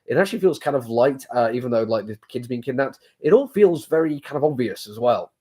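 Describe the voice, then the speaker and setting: Man, blocked-up cold voice, quick rambling comic review. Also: mid-range voice, expressive, darkish tone, husky. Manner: rambling, hesitant with many fillers. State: has a cold, sore throat, blocked sinuses. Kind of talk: casual spoken review.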